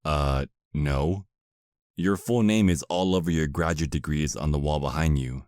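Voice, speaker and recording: A man acts out a put-upon vampire husband in a comedic audio roleplay. The male voice is low and recorded close and dry. He complains with sardonic, hesitant asides. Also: unhurried, darkish tone, clean tone, dry recording. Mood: bewildered, irritated.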